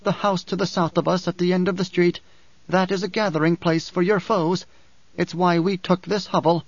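Audiobook role: embittered desert woman read by a man, raised voice pitch, edged and accusing, slightly muffled recording. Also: level tone, moderate pace, no room sound. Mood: reproachful. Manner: reproachful, edged, whining. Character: bitter, worn, proud desert woman, not very old.

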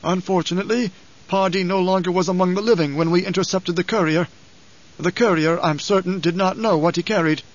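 A man voicing a loyal old advisor in an audiobook, grave and deferential, with a measured, formal, hesitant delivery. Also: high-pitched, fairly even intonation, dull audio, voice pushed up, natural voice. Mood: regretful, insistent. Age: older.

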